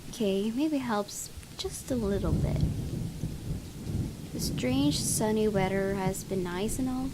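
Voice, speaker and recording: A woman's voice: mid-range voice, a little mellow, low-quality recording. A woman hosting a gaming video, reading visual novel narration aloud with casual asides. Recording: clear background noise.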